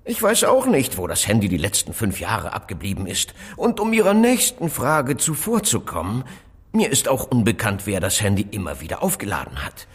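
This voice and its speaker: An actor playing an evasive, cautious phone inventor in an audio drama, speaking politely and deliberately, with rhetorical questions. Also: male voice, on the low side, wildly swooping pitch, slightly rough, heavily acted. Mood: guarded, polite. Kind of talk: German audio drama dialogue acting.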